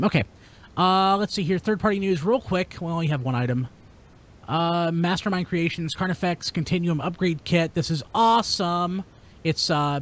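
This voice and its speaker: A man hosting a casual panel chat about toy news in an upbeat, enthusiastic manner. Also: on the high side. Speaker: podcast host.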